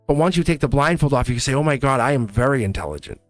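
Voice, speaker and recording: A man's voice: medium pitch, expressive, racing, dry recording, soft background music. A young man doing a casual, upbeat live tarot reading, talking to viewers in a chatty way and laughing often. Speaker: tarot reader.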